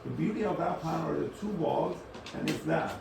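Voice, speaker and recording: A man thinking aloud in an unscripted academic architecture discussion, halting and often rephrasing as he moderates. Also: fairly high pitch. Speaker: discussion moderator responding to speakers. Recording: roomy, distant microphone, clear background noise.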